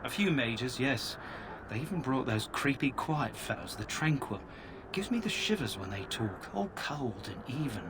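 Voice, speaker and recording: An actor playing a plain-spoken army soldier in a camp, chatty with a dry, folksy humor. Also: male voice, medium pitch, sing-song, normal speed, noisy background, lightly acted. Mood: matter-of-fact. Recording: game dialogue over camp ambience.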